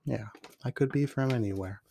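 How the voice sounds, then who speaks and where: A man's voice: medium pitch, fairly quick, gravelly, background noise. A man from the Pacific Northwest of the United States who hosts a podcast. He talks loosely and reflectively, with lots of fillers and restarts, and reads his own poem in rising, emphatic lists. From Portland, Oregon. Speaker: podcast host and poet. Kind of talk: casual talk and poetry reading.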